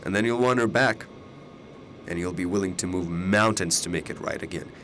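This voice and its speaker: An actor in a radio drama playing a mysterious older alternate self, fatalistic and persuasive, speaking in a deliberate, ominous way. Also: male voice, low-pitched, a bit bright, slight background noise. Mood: grave, foreboding.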